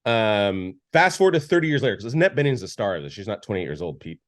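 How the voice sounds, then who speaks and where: A man's voice: lowish voice, big pitch swings, fast. A man co-hosting a podcast, giving a casual, rambling film review with lots of restarts and filler words. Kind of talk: casual chat.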